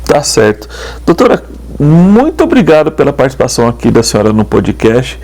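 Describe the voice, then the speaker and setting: A man hosting a news podcast, chatty and lively, closing with a sing-song sign-off. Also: mid-range voice, brisk. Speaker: journalist and podcast host. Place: podcast studio.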